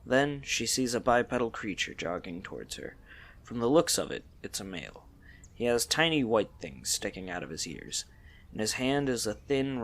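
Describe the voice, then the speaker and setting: Lowish, animated male story narrator. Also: creaky.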